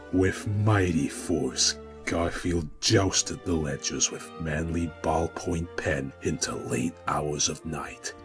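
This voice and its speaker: A man narrating a scripted story in a mock-dramatic reading style. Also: deep voice.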